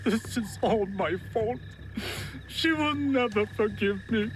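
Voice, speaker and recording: A man's voice: high-pitched, takes it slowly, a little hiss or hum. A man playing a cowardly, self-pitying gambler husband on the run, jittery and pleading, in a video game cutscene. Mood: guilty, rueful.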